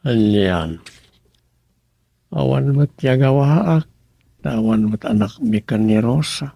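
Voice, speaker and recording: A man with an Ilocano accent playing a middle-aged village councilman in a radio comedy drama, with a gravelly, husky voice. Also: medium pitch, animated, takes it slowly, dark tone, dry recording, exaggerated voice. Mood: discussing, coaxing.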